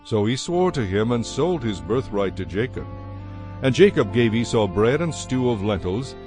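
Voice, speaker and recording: A man narrating dramatized scripture in a solemn, measured manner. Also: mid-range voice, expressive, a little mellow. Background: music.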